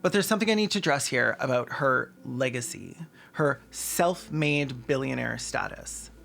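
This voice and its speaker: A man's voice: mid-range voice, lively intonation, normal speed, creaky, fairly bright tone, soft background music. A man delivering scripted commentary in a sardonic way, with dry asides and crude humor. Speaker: video essayist and host.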